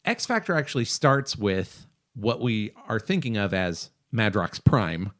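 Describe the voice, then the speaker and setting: Low-voiced male podcast co-host. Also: big pitch swings, unhurried, a bit bright. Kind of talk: casual review discussion.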